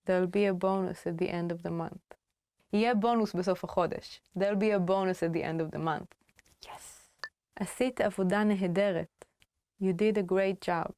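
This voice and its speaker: A woman teaching a language lesson on video, playful and upbeat, switching between slow explanations and quickly spoken example phrases. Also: mid-range voice, darkish tone, no room sound. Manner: playful and upbeat, with frequent laughs.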